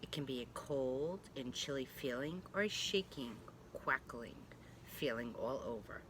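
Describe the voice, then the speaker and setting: A woman preschool teacher reading a storybook aloud to young children, gently and reassuringly, with chatty asides. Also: a bass voice, animated, measured pace, noisy background. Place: at home.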